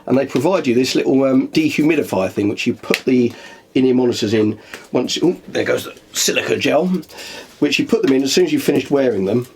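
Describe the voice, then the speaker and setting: A man's voice: mid-range voice, expressive, a little fast, slight background noise. A middle-aged man, a musician talking casually to camera with a chatty, dry self-deprecating humour. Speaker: musician vlogger.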